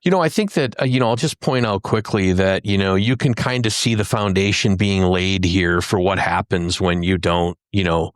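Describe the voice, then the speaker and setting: A man with a low, smooth voice talks shop on a podcast panel. He explains things casually and checks in with listeners as he goes. The sound is dry and close. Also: normal speed, few pauses, dry recording. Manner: explanatory, often checks with "right?". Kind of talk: casual technical discussion.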